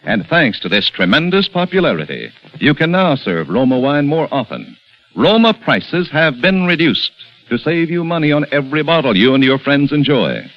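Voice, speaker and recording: Brief: vintage male announcer, lively emphatic pitch, dull old radio sound. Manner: measured, emphatic spelling out. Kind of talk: sponsor announcement. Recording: lo-fi old radio broadcast with music.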